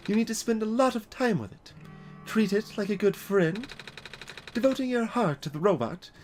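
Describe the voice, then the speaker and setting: Performer as a game robot guide, weary, grave, measured, expressive pitch, music behind. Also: lightly acted. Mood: earnest, explanatory. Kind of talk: video game character voiced by a let's player.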